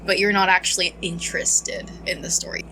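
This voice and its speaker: A woman's voice: fairly low pitch, fairly even intonation, fairly quick, pushed and bright, low-quality recording. A woman giving casual, conversational playthrough commentary, skeptical and thinking aloud as a gaming streamer. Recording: faint game music behind.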